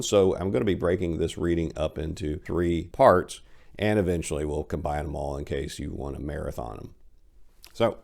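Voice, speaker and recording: A man's voice: deep voice, creaky, mellow. A middle-aged man giving a dramatic reading of a first-person horror story, his narration swelling as tension builds, recorded on a lapel mic indoors. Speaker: reader of horror stories. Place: indoors in front of bookshelves.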